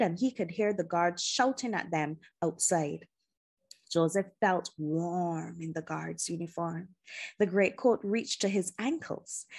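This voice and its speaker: Husky-edged woman reading a story aloud. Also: lowish voice. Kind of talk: expressive scripted narration of a children's adventure novel.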